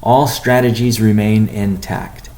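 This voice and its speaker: A man giving a company update on a conference call as its chief executive, speaking in a measured, halting way with deliberate pauses. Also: lowish voice, fairly even intonation, a little mellow. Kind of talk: business conference call presentation.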